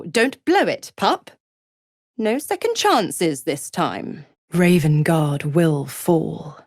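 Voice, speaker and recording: A sly, mocking she-devil from a fantasy video game. Her delivery is unhurried and highly animated in pitch, purring and seductive, sinking into conspiratorial whispers. She is theatrical and condescending, captured in a close, dry recording. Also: dry recording, heavily acted. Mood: gloating, menacing. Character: sly, seductive half-devil woman, a smug, mocking schemer.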